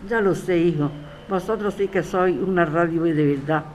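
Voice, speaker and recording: A woman's voice: on the low side, normal speed, lightly acted, low-quality recording. A woman playing a defiant grandmother who resists eviction, interviewed in a radio drama. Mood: worried, defiant. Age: older. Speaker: interviewed tenant. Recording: clear ambient street noise.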